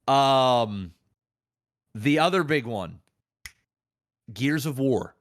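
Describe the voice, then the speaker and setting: Casting: man, podcast host, medium pitch, opinionated and emphatic, slangy, sweary, casual delivery. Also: lively intonation, very slow, dry recording, clean studio sound.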